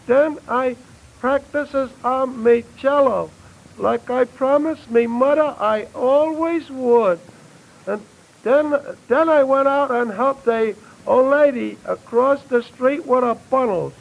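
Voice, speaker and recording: An actor playing a dim, mawkish racketeer acting innocent in halting, ungrammatical New tough-guy talk, in 1930s radio comedy style. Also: very high-pitched, creaky, narrow, tinny audio, full character voice. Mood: mock innocent. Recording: thin, band-limited old radio recording.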